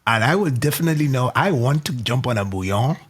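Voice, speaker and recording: Easygoing male co-host, soft asides. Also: medium pitch, lively intonation, quick pace, slightly rough. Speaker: co-host and artist. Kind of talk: casual on-air interview.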